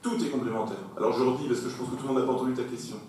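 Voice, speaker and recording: A man chairing a council meeting, explaining points formally in a measured, emphatic way. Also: mid-range voice, darkish tone. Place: large echoey meeting room. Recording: very echoey hall, distant mic, faint noise.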